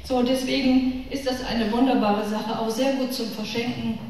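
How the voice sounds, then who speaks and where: A woman's voice: a little high, steady tone, moderate pace, darkish tone. A middle-aged woman with a northern German accent giving a chatty, informal faith talk to a women's church gathering, speaking to her listeners directly and personally. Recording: echoey hall from far off with room noise.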